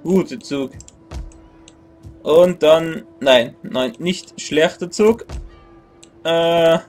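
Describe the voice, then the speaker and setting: A man's voice: a little high, extremely slow, a little mellow, soft background music. A young man in his twenties casually commenting on a strategy game as he plays, thinking aloud, grumbling and correcting himself. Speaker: let's play gamer. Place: small room indoors at a computer.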